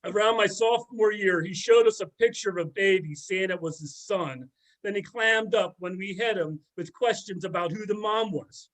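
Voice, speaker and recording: High-pitched sardonic man playing a former student. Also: normal speed, fairly bright tone, low-quality recording. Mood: mocking. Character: sardonic middle-aged former student dishing on an old teacher. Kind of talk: amateur readers theater, conversational script reading.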